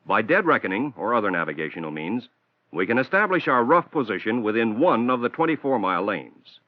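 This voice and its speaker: An American man reading a scripted instructional narration for a training film in a measured, formal, explanatory manner, on a 1960s film soundtrack. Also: mid-range voice, big pitch swings, dry recording. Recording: dull, band-limited 1960s film soundtrack.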